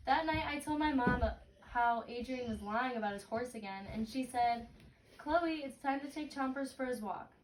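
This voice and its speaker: A woman's voice: on the high side, moderate pace. A woman reading a picture book aloud expressively to a child, as if leading a read-aloud lesson. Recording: roomy, distant mic, clicks and clear noise.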